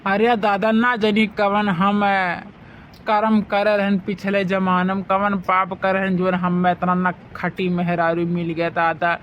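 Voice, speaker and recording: A man's voice: high-pitched, monotone, measured pace. A man voicing a henpecked, food-loving village husband with an Awadhi accent, wheedling and complaining in a comedy cartoon. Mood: self-pitying. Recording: dull lo-fi sound, faint noise.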